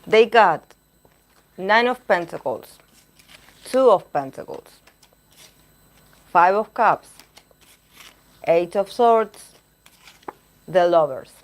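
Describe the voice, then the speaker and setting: Slow-talking hoarse woman reading tarot. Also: fairly low pitch, expressive. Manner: halting, searching for words while reading cards. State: losing her voice. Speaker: tarot reader. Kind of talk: live-stream tarot reading, chatting with viewers.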